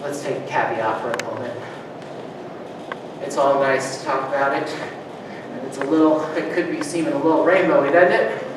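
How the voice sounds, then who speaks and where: A man preaching a sermon as pastor, moving between loud rousing calls and soft hushed reflection, joking easily with his congregation. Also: medium pitch, fairly even intonation, normal speed. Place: church sanctuary. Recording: distant mic, strong room echo, background noise.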